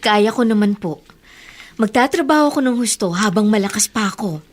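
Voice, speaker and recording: Young female lead, earnest and stubborn, medium pitch, played by an actress in a radio drama. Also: expressive, normal speed, close to an everyday voice. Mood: earnest, insistent. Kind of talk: Tagalog radio drama acting.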